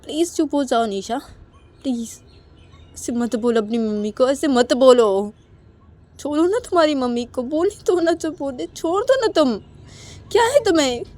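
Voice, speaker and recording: A woman's voice: high voice, animated, moderate pace, a little mellow. A distressed young woman, played by a female storyteller in an audio drama. She pleads in a placating, imploring way. Her short appeals come round again and again. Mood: exasperated pleading. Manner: imploring, repetitive.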